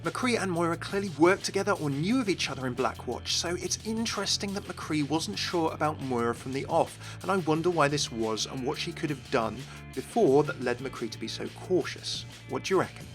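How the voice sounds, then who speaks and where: A man's voice: on the high side, expressive, a little fast, few pauses, fairly bright tone, faint music behind. A man hosting a scripted game lore explainer, addressing viewers directly and with enthusiasm. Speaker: video host, game lore commentator.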